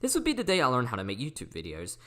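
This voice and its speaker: A teenage young man narrating a scripted personal story for an online video, speaking up clearly. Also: mid-range voice, wildly swooping pitch, very fast, fairly bright tone. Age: teens, almost seventeen. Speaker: YouTuber telling personal stories. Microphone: recorded at a computer or phone.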